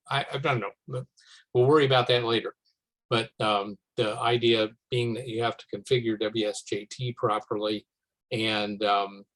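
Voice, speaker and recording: A man talking informally on a conference call, pausing between phrases as he thinks. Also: lowish voice, rough recording. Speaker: meeting participant. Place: remote teleconference.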